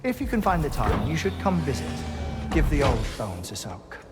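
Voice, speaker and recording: An actor playing a genial, scholarly investigator in a video game, speaking in a formal, courteous, wordy way. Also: male voice, mid-range voice, huge pitch swings, normal speed. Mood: genial, relaxed. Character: genial, scholarly male investigator with old bones. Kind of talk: video game cutscene acting. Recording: game dialogue over clear music.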